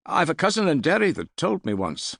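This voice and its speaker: A man's voice: on the high side, wildly swooping pitch, a little fast, gravelly, fairly bright tone, dry recording, clean studio sound, voice pushed up, full character voice. A man voicing an eager, naive boy retainer in an audiobook, blurting out his words with some hesitation. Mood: eager, embarrassed. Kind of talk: audiobook character dialogue.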